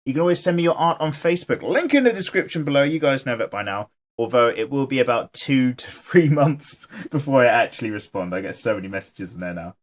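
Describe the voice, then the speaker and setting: A man's voice: medium pitch, animated, brisk, few pauses. A man hosting his own channel, chatting casually and joking while he sketches and reads viewer comments aloud. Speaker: artist and channel host.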